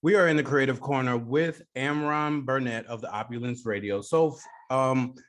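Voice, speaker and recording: A man's voice: medium pitch, fairly even intonation, normal speed. A man hosting a radio show, interviewing a guest and repeating phrases for emphasis.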